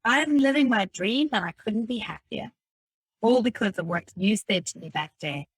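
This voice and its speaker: A woman's voice: mid-range voice, animated, moderate pace, breathy edge, no echo. Woman reading self-help aloud, dry close sound. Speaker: reader of a self-help book. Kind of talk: scripted read-aloud of a motivational audiobook text.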